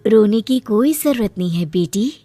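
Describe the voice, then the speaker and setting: A little magical tree fairy, played by a woman, kindly and motherly. She reassures gently in a medium pitch, storybook cartoon style, over music. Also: lively intonation, normal speed, dry recording, lightly acted. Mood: reassuring. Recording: music behind the voice.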